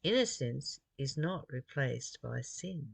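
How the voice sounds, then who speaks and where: An earnest speaker from Australia reads a spiritual text aloud at a slow, deliberate pace. The sound is dry and close, with little room around it. Personal reflections are woven between the read passages. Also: medium pitch, very animated, vocal fry, husky, dry recording. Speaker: host reading and commenting on a spiritual text.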